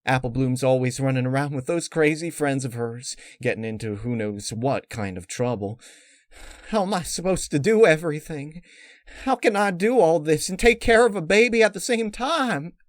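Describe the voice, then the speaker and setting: A man's voice: fairly high pitch, animated, normal speed, dry recording, studio quality, pitched above the performer's own voice, natural voice. A man voicing an anxious pregnant farm mare with folksy country phrasing in a story reading. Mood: anxious, overwhelmed.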